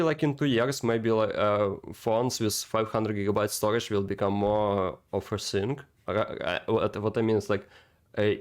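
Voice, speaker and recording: Male gaming commentator, lowish pitch, off-the-cuff and rambling, halting repeats, emphatic questions to the viewer. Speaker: gaming content creator reacting to game cutscenes. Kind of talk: casual rambling game commentary.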